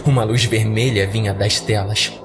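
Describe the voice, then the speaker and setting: A man's voice: lowish voice, fairly even intonation, normal speed, loud music behind the voice. A man narrating a scripted horror story in a dramatic audio drama style.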